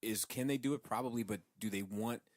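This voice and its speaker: Male podcast co-host, deep voice, informal and sweary, close dry sound. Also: a little fast, dry recording. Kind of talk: casual geek-culture podcast chat.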